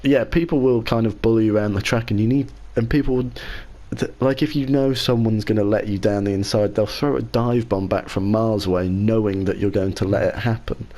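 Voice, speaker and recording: A man co-hosting a casual racing podcast, talking in a measured way and softening his opinions as he goes. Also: on the low side, fairly even intonation. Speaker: podcast co-host and league racer.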